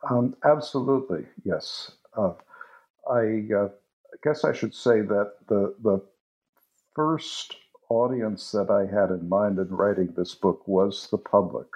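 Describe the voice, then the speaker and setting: An older man, an author being interviewed, answering thoughtfully with frequent pauses and fillers. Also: fairly low pitch, a little mellow, rough recording. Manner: thoughtful, hesitant with many fillers. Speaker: author and musicologist guest.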